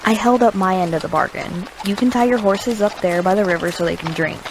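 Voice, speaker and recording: A woman playing a composed, practical survivor leader, measured and direct, in an audio drama. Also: mid-range voice, background noise, lightly acted.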